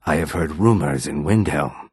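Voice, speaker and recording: Very deep lizardfolk assassin. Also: male voice, normal speed, darkish tone, husky, no room sound, studio quality. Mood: calm, matter-of-fact. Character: calm, menacing male lizardfolk assassin, proud and courteous. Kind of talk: video game character dialogue.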